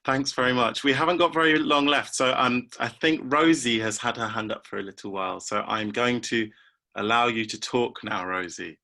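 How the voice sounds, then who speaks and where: A man's voice: medium pitch, normal speed, gravelly, sharp tone. A man moderating an online event, handing the floor to guests and laughing easily. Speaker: event host. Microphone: video call.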